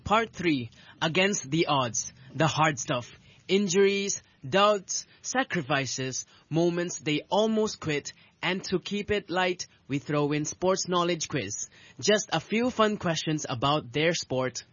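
A woman's voice: low-pitched, lively intonation, slow, breathy edge, no echo. A woman co-hosting a sports program with scripted lines and light banter. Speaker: co-host and pool player. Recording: dull, lo-fi recording.

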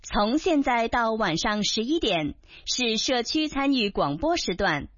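Lively female station announcer. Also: fairly high pitch, unhurried, no echo. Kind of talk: radio promos and announcements. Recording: dull broadcast sound over music.

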